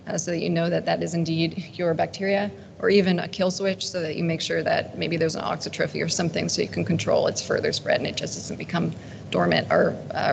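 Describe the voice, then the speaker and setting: Gravelly lady fielding science questions, lots of ums and uhs. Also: lowish voice, a little hiss or hum. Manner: hesitant, thinking aloud. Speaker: conference speaker answering questions. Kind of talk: Q&A answers.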